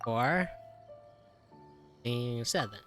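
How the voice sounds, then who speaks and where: A man's voice: mid-range voice, expressive, extremely slow, music in the background. A young man giving casual, slangy live reaction commentary while streaming a video game.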